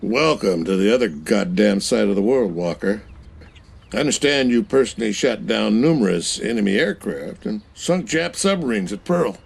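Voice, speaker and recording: An actor playing a gruff, blunt older American admiral in a war game cutscene. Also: male voice, lowish voice, lively intonation, normal speed, heavily acted. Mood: sardonic. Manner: blunt, commanding, sardonic. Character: stern, gruff older male US Navy admiral. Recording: noisy ambience behind.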